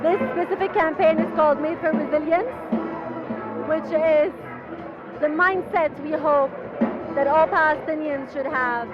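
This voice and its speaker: A woman's voice: high voice, a little slow. A woman exhibitor at a festival, speaking earnestly and from the heart in an interview. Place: festival grounds. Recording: lo-fi recording over loud music and crowd.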